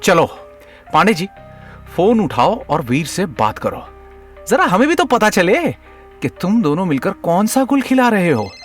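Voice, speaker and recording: A man's voice: high-pitched, sing-song, unhurried, soft background music. A man voicing an insistent, suspicious young man in a comic audio drama, urgent and demanding. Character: insistent, suspicious young male confronting a culprit.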